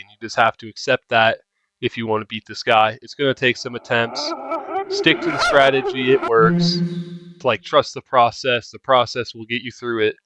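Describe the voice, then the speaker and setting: A man giving casual game guide commentary as a walkthrough narrator, in a lo-fi recording. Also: medium pitch.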